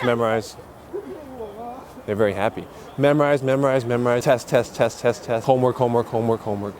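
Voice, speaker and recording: A man's voice: mid-range voice, a little mellow. A man talking casually as he walks and explains things as an English teacher, full of uh and you know. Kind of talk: casual walking vlog. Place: busy city streets outdoors. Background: faint street and traffic noise.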